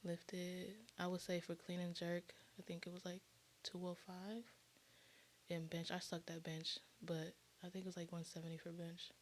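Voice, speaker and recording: Lower-pitched woman chatting as a guest. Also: completely flat delivery, moderate pace, slight background noise. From Orlando, Florida, USA. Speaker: podcast guest, hairstylist. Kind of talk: casual interview chat.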